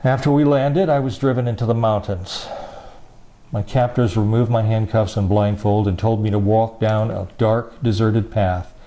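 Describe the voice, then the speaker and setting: A man from the United States reading another person's first-person statement aloud, in a slow, grave, deliberate way. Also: fairly low pitch, fairly even intonation, dark tone. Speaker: reader of a statement. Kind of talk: solemn measured reading.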